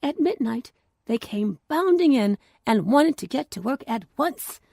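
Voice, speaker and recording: Very animated, unhurried woman storyteller. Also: high-pitched, creaky, no echo, clean studio sound. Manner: expressive, deliberate, with hushed phrases. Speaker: storyteller and podcast host. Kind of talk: scripted children's story narration.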